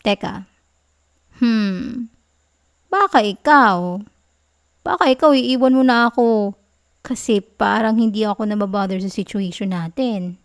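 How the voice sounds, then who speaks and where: Slow, sweet, clingy Filipino girlfriend, acted by a woman. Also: mid-range voice, lightly acted. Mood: pouty, teasing. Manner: soft, cooing, teasing, giggly. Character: clingy, sweet, affectionate girlfriend, playfully sulky. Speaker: ASMR roleplay performer. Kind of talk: ASMR girlfriend roleplay, close and intimate.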